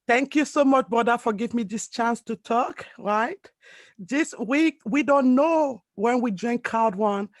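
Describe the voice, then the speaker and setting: A woman's voice: on the high side, dry recording. A woman from Cameroon giving an emphatic, earnest motivational speech over a video call as a network marketing leader. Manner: earnest, emphatic, non-native phrasing.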